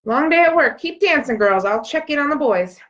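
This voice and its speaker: A woman's voice: high voice, animated, brisk, fairly bright tone, voice pushed up. A woman voicing a tired, caring working mother in a children's storybook, motherly and exclaiming. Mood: weary but kind. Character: tired, caring working mom of a young girl. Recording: dull, lo-fi sound.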